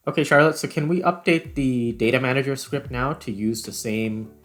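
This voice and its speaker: A man's voice: mid-range voice, normal speed, a bit bright, faint music behind. A man hosting a tutorial vlog, talking casually and informally as he works through steps. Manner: informal, conversational. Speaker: video host.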